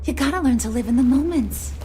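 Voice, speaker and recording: A woman's voice: high-pitched, fast talker, warm tone, a little hiss or hum, lightly acted. A woman playing a brash, flirtatious and menacing villain in a video game, with a sassy, taunting, mock-sweet delivery. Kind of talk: dramatic video game acting.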